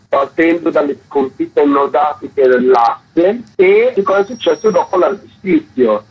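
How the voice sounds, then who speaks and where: A man with a raspy, creaky voice explaining his work as a curator being interviewed. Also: on the high side. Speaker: photography exhibition curator interviewed as guest. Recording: dull, lo-fi line.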